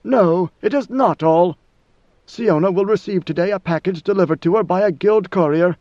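A man voicing a cold, commanding ancient ruler in a measured, lofty way. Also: high voice, darkish tone, no echo, dull audio, lightly acted. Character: ancient imperious male god-emperor, coldly commanding.

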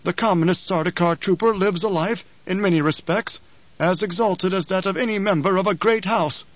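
A shrewd older spymaster, voiced by a man reading aloud, speaks in a mild, precise, lifted voice. He probes with calm questions. The recording is dry and a little muffled. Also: high voice, brisk, no room sound, voice pushed up, natural voice.

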